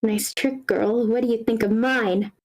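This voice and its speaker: A woman's voice: fairly high pitch, fairly even intonation, measured pace, darkish tone. A woman voicing a gloating, menacing undead male brute at a screenplay table read. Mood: taunting. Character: Press, ghastly gloating male undead brute with stone hands.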